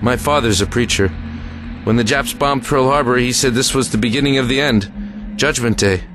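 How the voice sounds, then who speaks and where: A man's voice: medium pitch, moderate pace, lightly acted, rough recording. A man playing a weary, guarded American army lieutenant in an audio drama, speaking in a clipped, plain way with military authority. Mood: grave, secretive. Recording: music under the voice.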